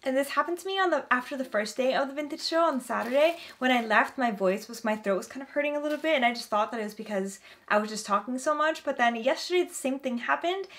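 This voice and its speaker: Young female haul vlogger, highish voice with slight strain, informal and excitable delivery. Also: quick pace. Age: young adult. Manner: gushing, enthusiastic. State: slightly strained voice, throat-clearing. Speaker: vlogger showing a vintage clothing haul. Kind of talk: casual chatty haul vlog to camera. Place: small furnished bedroom, indoors.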